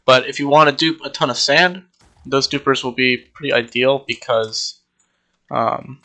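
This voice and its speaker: Young man, bright tone, slightly congested, rambling casual walkthrough, desk mic. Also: mid-range voice, measured pace. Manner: rambling, relaxed, many ums. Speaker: Minecraft server player.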